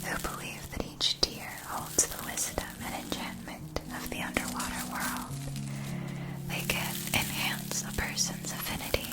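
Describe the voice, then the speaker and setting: A woman whispering softly and breathily in a scripted ASMR roleplay as a gentle magic shopkeeper, enunciating each phrase with care. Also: over loud music. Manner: slow, deliberate, careful enunciation.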